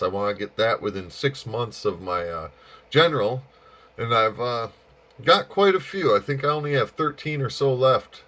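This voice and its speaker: A man's voice: medium pitch, bright, forceful tone. A man chatting casually as an amateur radio operator, with an easygoing, folksy manner. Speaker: amateur radio operator and hobby video maker. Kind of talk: casual chat over ham radio.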